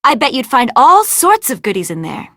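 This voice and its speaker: A woman's voice: a little high, huge pitch swings, normal speed, sharp tone, no room sound, studio quality, heavily acted. A woman voicing a vain, ditzy young movie actress in an adventure game, gushing and theatrical in a gossipy way. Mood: conspiratorial. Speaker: video game voice actor. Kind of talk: animated adventure game dialogue.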